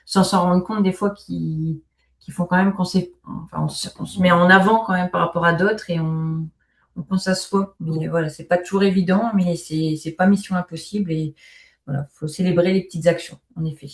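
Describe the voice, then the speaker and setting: A woman's voice: on the low side, fairly even intonation, normal speed. A woman in a workshop reflecting casually and tentatively. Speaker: workshop participant. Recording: roomy, echoing sound.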